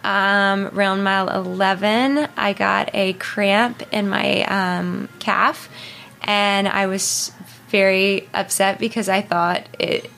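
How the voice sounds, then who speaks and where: A woman in her thirties as an interview guest, talking casually and haltingly with many fillers and restarts. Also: mid-range voice, steady tone, vocal fry, fairly bright tone, slight background noise. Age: 30s. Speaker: interview guest, marathon runner.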